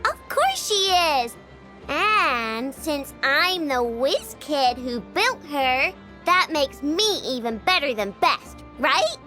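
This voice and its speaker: A woman playing a cheeky, boastful and excitable little girl inventor in a video game, bratty and exclamatory. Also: high voice, slow pace, soft background music, full character voice.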